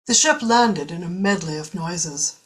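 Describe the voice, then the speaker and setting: A woman's voice: lowish voice, animated, unhurried, husky. A woman narrating a science fiction story in a scripted, audiobook style.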